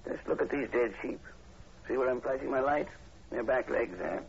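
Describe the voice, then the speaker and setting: Guy as a calm brainy professor, gravelly n polite, 40s radio horror, real noisy old recording. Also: medium pitch, dull audio, natural voice. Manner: measured, thoughtful, polite. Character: calm, analytical male professor investigating strange killings. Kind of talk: 1940s radio horror acting.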